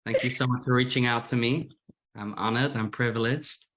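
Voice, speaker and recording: A man's voice: medium pitch, fairly even intonation, normal speed, a bit bright, poor audio. A man from England with a Manchester accent gone a little American, a musician chatting as a guest in a casual interview, self-deprecating and often repeating words as he talks. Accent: Manchester English, slightly Americanized.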